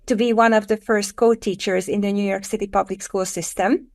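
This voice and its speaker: A middle-aged woman from Hungary with a Hungarian accent, a guest explaining her work in a podcast interview with earnest, measured phrasing. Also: mid-range voice, steady tone, creaky, darkish tone. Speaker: guest, education author.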